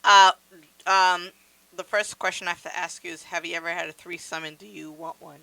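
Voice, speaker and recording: A woman's voice: fairly low pitch, steady tone, a little fast, creaky, bright, forceful tone, no room sound, rough recording. A woman co-hosting a casual podcast chat, asking blunt, teasing questions. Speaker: podcast co-host.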